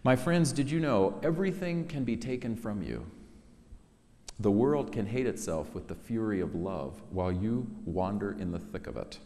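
A middle-aged man gives a solemn, dramatic poetry reading, measured and expressive, with weighty pauses. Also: on the low side. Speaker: poet reading own work. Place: large synagogue sanctuary, at a lectern. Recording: echoey hall reverb.

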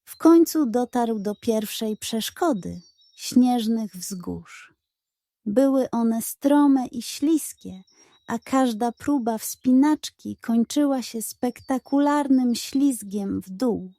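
A woman reads a children's bedtime tale at an unhurried pace with a mellow tone. Her voice sits fairly high. She is expressive and enunciates with care, recorded close and dry. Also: no room sound. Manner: expressive, carefully enunciated storytelling. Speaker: narrator. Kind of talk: scripted children's bedtime story narration.

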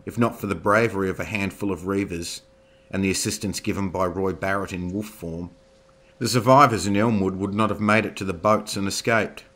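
A man's voice: sits low, steady tone, fairly quick, fairly bright tone. A man voicing a composed male commander arguing on the prisoners' behalf. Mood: persuasive.

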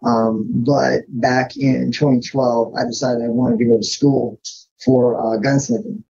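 A man's voice: medium pitch, little pitch movement, unhurried, vocal fry, dark tone, husky. A laid-back, self-deprecating man talking casually as a podcast guest, on a dull lo-fi connection. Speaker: podcast guest, firearms author. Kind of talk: casual interview chat.

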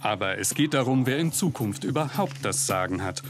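A man's voice: medium pitch, expressive, brisk, background noise. A man reading a scripted investigative news report as a voice-over, with pointed, ironic emphasis. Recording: narration over loud music bed.

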